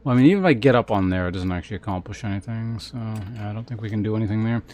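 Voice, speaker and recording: A man's voice: lowish voice, very fast, darkish tone. A man casually commentating on a video game live, thinking aloud in a hesitant way as he chats with viewers.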